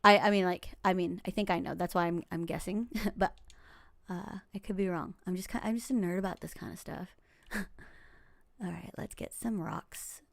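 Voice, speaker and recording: A woman in her forties, a game streamer from Los Angeles whose first language is Tagalog, chatting casually through gameplay in a rambling way, with fillers, soft laughs and whispered asides. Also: on the low side, steady tone, normal speed, creaky, dry recording. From Los Angeles, United States.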